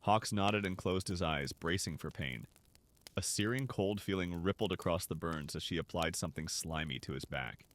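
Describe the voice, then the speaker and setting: A man narrating a scripted story for an audio drama in an expressive, dramatic way. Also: low voice. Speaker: narrator and voice actor.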